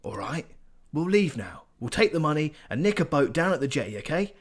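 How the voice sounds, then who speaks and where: Reader as a gruff, whiny rat catcher, animated. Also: male voice, fairly high pitch, brisk, pitched above the performer's own voice. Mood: grumbling. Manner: gruff, snappish. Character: grumbling rat catcher, middle-aged man, surly.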